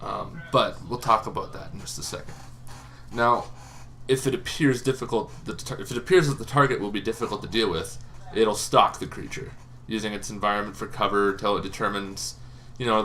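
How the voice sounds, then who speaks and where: A man's voice: fairly low pitch, fairly bright tone, slight background noise. A young man casually explaining tabletop game monsters, rambling with frequent ums and restarts. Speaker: tabletop game video host.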